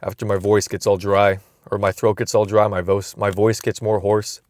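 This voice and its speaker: Low-voiced young man rambling casually. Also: little pitch movement, moderate pace, a little mellow. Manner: rambling, musing aloud with frequent restarts. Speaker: vlogger.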